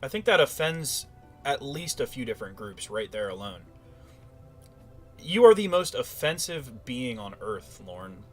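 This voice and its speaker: A man's voice: mid-range voice, big pitch swings, a little slow, soft background music. A man giving casual, mocking commentary in a sarcastic and profane manner. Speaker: commentator reacting to a played recording.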